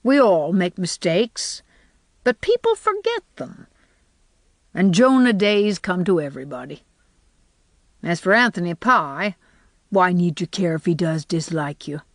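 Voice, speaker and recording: Woman reader as a stern but kind older guardian, brisk, dry close mic. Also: on the low side, big pitch swings, no room sound. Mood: brisk gentle. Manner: brisk, no-nonsense, gentle underneath. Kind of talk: storybook character reading.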